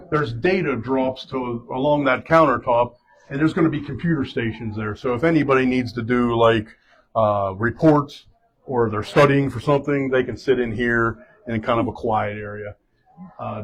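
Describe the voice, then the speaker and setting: Loud-voiced man guiding a tour. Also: medium pitch, rough recording. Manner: halting, many fillers and restarts. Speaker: fire chief leading a building tour. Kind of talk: casual spoken tour guiding. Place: indoors in a large fire station building.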